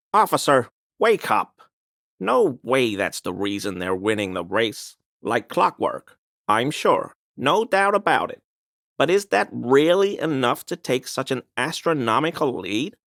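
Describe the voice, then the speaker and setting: A man's voice: medium pitch, fairly bright tone, no echo, clean studio sound, heavily acted. An actor playing a smug, conspiracy-minded man in a video game, speaking in an insistent, knowing, insinuating way. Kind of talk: video game dialogue voice lines.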